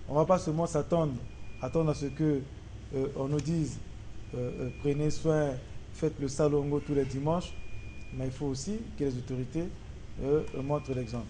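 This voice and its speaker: A man with a Congolese accent hosting a talk show and wrapping up for viewers in a halting, hesitant way, often repeating words. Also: a little high, steady tone, warm tone, slight background noise. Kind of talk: spoken show wrap-up to viewers.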